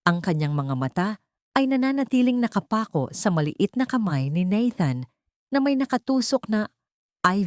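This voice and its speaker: A woman's voice: mid-range voice, a little mellow, dry recording, studio quality. A woman storyteller reading a scripted dramatic audio story.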